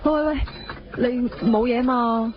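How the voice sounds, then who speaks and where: A woman's voice: a little high, steady tone, a little slow, noisy background, lightly acted. An actress playing a caring, anxious young woman in a radio drama, earnest and solicitous, with a Hong Kong Cantonese accent. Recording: dull lo-fi radio audio with music.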